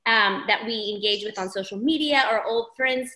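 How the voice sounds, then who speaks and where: Woman coach, bright voice, upbeat and emphatic, echoey room. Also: a little high, normal speed. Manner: emphatic, affirming, repeats key phrases. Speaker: team coach leading a training call. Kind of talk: encouraging group training talk.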